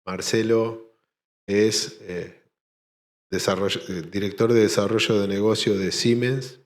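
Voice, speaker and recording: A man's voice: lowish voice, fairly even intonation, a little slow. Man moderating a panel. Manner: brief and informal. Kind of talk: introducing speakers. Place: conference hall.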